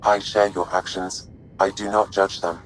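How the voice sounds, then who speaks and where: A man playing a polite, measured artificial intelligence in a video game, speaking formally, precisely and without emotion. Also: low-pitched, little pitch movement, slight background noise, close to an everyday voice, poor audio.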